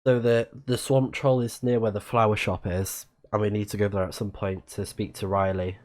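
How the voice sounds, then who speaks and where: Male game streamer, low-pitched, thinking out loud and reading in-game text casually. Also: little pitch movement, moderate pace. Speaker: let's play streamer.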